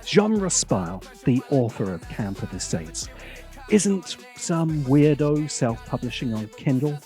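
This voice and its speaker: Male video essayist, highly animated delivery, measured pace with dramatic pauses, sardonic edge. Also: soft background music. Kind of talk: scripted video essay narration.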